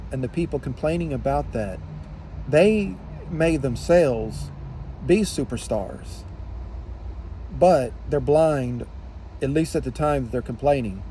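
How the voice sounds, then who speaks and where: A man giving an unscripted opinion monologue in deliberate, halting phrases, with emphatic repetition. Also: mid-range voice, mellow, a little hiss or hum, poor audio.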